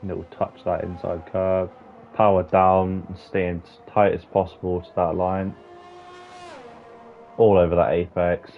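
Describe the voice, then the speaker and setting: A man giving casual, excitable commentary on a sim racing qualifying session, swearing freely and bantering with his co-host. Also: low-pitched, measured pace, creaky, soft background music. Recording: dull lo-fi stream audio with faint hiss.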